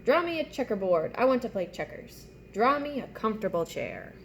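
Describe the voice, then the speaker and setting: A woman's voice: on the high side, sing-song, moderate pace. Lady doing a grumpy growly monster from a kids book, barking demands, bit of hiss behind. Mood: grumpy, demanding. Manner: blunt, barking orders.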